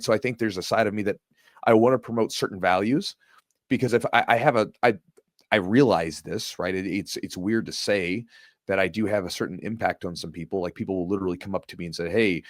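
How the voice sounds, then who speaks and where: A man's voice: lowish voice, fairly quick, no echo, studio quality. A man hosting a podcast, telling a competition story in a casual, conversational way and often repeating his words. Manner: conversational, repeats words, lots of "you know". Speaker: podcast host and jiu-jitsu coach.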